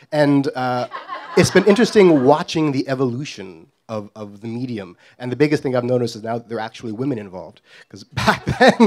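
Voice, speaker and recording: A man's voice: medium pitch, a little hiss or hum. A middle-aged man from Guyana telling a comic story on stage in a wry, self-deprecating way. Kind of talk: live comedic storytelling.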